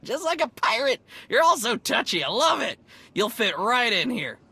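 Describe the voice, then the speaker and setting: A woman voicing an excitable, chatty alien local in a video game, gushing and informal, with a husky edge. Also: on the high side, moderate pace, heavily acted. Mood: teasing, delighted. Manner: gushing, breezy, informal slang. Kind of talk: video game cutscene acting.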